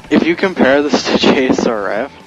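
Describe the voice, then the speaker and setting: A man's voice: mid-range voice, expressive, a little fast, lo-fi sound, soft background music. A man giving casual group commentary on a video game, explaining things in a rambling, hesitant way. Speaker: gaming commentator.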